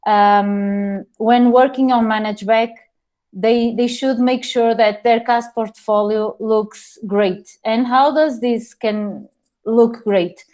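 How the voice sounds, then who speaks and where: A woman with a Portuguese accent presenting a webinar over a video call, halting often and restarting her sentences. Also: on the high side, steady tone, a little mellow. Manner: hesitant, frequent pauses and restarts.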